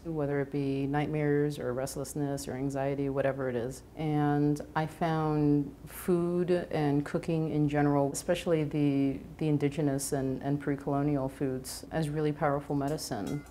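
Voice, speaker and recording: Low-voiced woman chef, earnest and reflective. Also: level tone, warm tone, a little hiss or hum. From Venezuela. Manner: earnest, thoughtful, with brief pauses and restarts. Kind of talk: reflective documentary interview. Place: home kitchen and living room, indoors.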